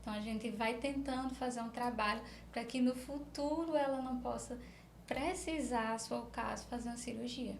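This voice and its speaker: A woman's voice: a little high, normal speed, vocal fry. A woman with a Northeastern Brazilian accent, a speech therapist answering in a conversational interview, explaining things through examples. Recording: roomy echo, faint background noise.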